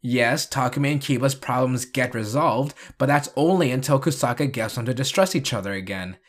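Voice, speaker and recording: A man's voice: mid-range voice, brisk. Man narrating with dry sarcasm. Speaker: video essay narrator. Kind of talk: scripted review and recap narration.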